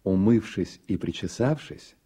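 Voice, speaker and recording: Low, dark, gravelly male narrator. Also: expressive, unhurried. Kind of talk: scripted literary narration for radio drama.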